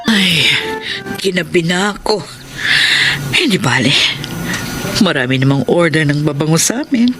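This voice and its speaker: A woman's voice: low voice, expressive, unhurried, pauses often, over music. A woman with a Filipino accent playing an affectionate, aging mother of a grown son in a TV drama. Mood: mildly annoyed. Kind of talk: TV drama acting. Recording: noisy location sound.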